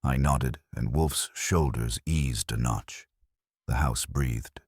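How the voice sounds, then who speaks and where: A man's voice: rumbling low, slow pace, husky, dry recording, studio quality. A man reading scripted detective fiction as its narrator.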